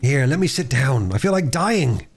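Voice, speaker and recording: Guy doing a hurt storybook schoolboy, indignant, slangy, plucky, high voice. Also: very animated, moderate pace, a little hiss or hum. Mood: pained.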